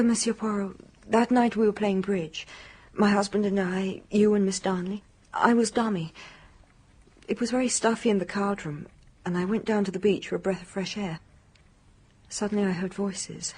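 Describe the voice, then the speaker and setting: An actress plays a contemptuous young wife in a radio mystery. Her voice is husky and mid-pitched, held under tight, scornful control. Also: fairly even intonation, normal speed, darkish tone, natural voice. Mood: tense recounting. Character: bitter, contemptuous married woman, a hotel guest. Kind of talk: radio mystery drama acting.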